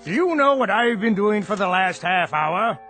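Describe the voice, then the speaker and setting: Withering male boss: high pitch, animated, formal, sarcastic, radio comedy. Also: moderate pace, soft background music, full character voice. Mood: stern. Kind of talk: comic radio drama acting.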